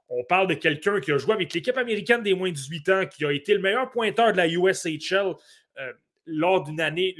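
A man with a Québécois accent co-hosting a sports podcast, giving animated analysis with frequent fillers and restarts. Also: on the high side. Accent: Québécois French. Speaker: podcast co-host. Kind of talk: casual sports podcast talk.

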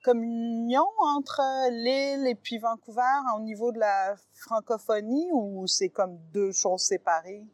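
A woman's voice: fairly high pitch, steady tone, measured pace, few pauses, a little mellow, no echo. A woman, interviewing guests in a friendly, encouraging way. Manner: friendly, encouraging with short reactions. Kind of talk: casual conversational interview.

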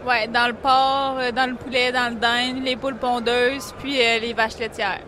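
A woman's voice: a little high, little pitch movement, unhurried, background noise. A woman from Quebec with a Quebec French accent, answering interview questions casually about her feed company. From Quebec, Canada. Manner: casual, many fillers.

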